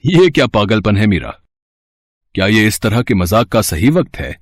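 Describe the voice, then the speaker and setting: An actor playing a baffled young royal bridegroom in a storybook drama, questioning and incredulous. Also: male voice, fairly low pitch, big pitch swings, moderate pace, dry recording. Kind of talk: acted devotional storybook drama.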